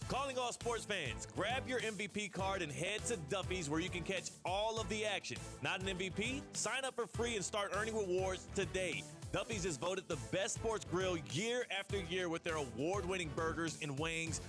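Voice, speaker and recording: High American man reading a radio ad. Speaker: commercial announcer. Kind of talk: scripted radio ad read. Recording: clear music bed behind.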